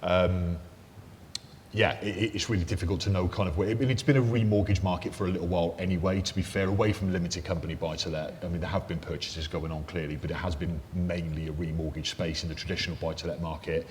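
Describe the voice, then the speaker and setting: A man's voice: low voice, steady tone, fast talker. A man speaking as a lender on a mortgage panel, talking conversationally with many fillers and restarts. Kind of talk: panel discussion. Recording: echoey hall, faint noise.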